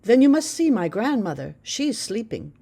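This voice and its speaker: A woman's voice: on the high side, sing-song, normal speed, gravelly, mellow, husky, voice pushed up, exaggerated voice. A woman voicing an eager, chatty teenage girl in a spoken memoir. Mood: excited.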